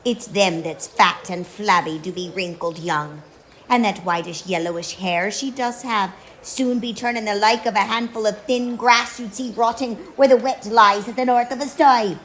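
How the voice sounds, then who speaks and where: An actress playing a proud, sharp-tongued middle-aged Irish beggar woman in a radio play, biting and deliberate. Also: medium pitch, a little hiss or hum, lightly acted. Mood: cutting, proud. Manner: biting, deliberate dialect speech. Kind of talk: theatrical radio play acting.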